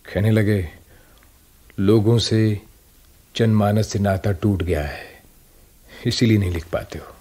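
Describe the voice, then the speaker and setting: An actor playing a weary, self-doubting writer in a radio drama, husky and breathy, brooding and hesitant. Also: male voice, fairly low pitch, slow pace, long pauses, dark tone. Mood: weary, troubled. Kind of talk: Hindi radio drama acting.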